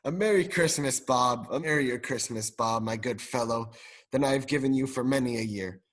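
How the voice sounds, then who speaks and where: An elderly former miser, now overflowing with generosity and repentance as a male student plays him. The delivery is radio drama acting, in a medium-pitched voice. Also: moderate pace, a bit bright, close to an everyday voice. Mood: joyful, generous.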